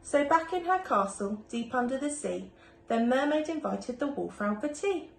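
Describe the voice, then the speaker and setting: Animated woman reading a children's story. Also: high voice, normal speed, creaky. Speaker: primary school teacher reading aloud. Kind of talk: expressive children's storybook narration. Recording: echoey room, faint background noise.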